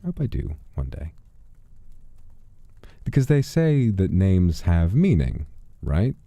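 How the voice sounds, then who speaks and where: Give the stream a man with an extremely low, very dark and mellow voice, playing a centuries-old demon persona. He tells stories in a musing, theatrical manner with a creaky edge, close on the microphone. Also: wildly swooping pitch, takes it slowly, no echo. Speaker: livestream host. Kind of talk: in-character storytelling and casual livestream chat. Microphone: close studio microphone, dry.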